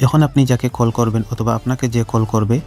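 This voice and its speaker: A man's voice: medium pitch, flat delivery, fast talker, darkish tone. A man narrating a how-to tutorial as host, explaining step by step and repeating his instructions to viewers. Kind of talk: scripted-sounding how-to narration addressed to viewers. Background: background music.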